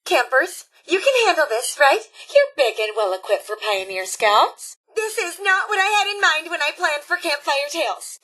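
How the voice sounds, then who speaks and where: A woman voicing a cheery, motherly elderly robot scout leader in a video game, with a folksy American Upper Midwest accent and a husky edge. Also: sits high, sharp tone. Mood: eager, coaxing. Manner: folksy, chirpy, sing-song, fussing over campers. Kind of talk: video game character acting.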